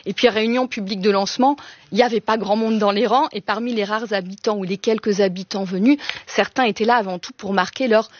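Woman, French accent, raspy-edged voice, analytical guest, word-searching, slightly muffled dry recording. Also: medium pitch, fairly even intonation. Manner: hesitant, word-searching, measured formal phrasing. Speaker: expert guest. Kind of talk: radio discussion, analytical explanation. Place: radio studio. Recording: slightly dull, dry studio sound.

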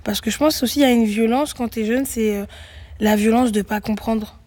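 Husky young woman, hesitant and thoughtful. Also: on the high side, fairly quick, darkish tone. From Greece. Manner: thoughtful, many hesitations and restarts. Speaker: guest musician. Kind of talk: reflective radio interview answers.

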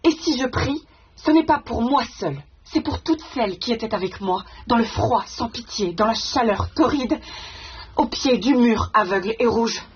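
A woman's voice: a little high, animated, moderate pace, slightly rough, lo-fi sound. An actress playing an earnest woman writer in a naturalistic stage drama, speaking in a hesitant, searching way and breaking off sentences. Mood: solemn reciting. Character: earnest woman writer haunted by village women's stories. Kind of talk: naturalistic stage acting, conversational.

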